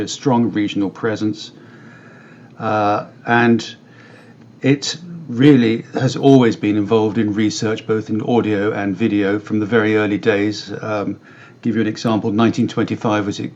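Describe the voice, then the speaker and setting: A British man giving measured, articulate explanations as an expert guest in a conversational interview. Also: fairly low pitch, a little mellow, highs cut off. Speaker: company director interviewed as guest.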